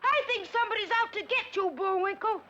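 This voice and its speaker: A woman's voice: very high-pitched, quick pace, heavy background noise. A woman voicing a small, plucky, earnest boy flying squirrel in an old cartoon, eager and wholesome. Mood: alarmed. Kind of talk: 1960s cartoon voice acting. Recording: dull lo-fi old cartoon soundtrack.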